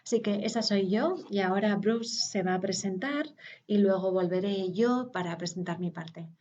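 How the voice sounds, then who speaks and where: A woman, interpreting a conference talk live, hesitant with pauses and filler sounds. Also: mid-range voice, darkish tone, dull audio.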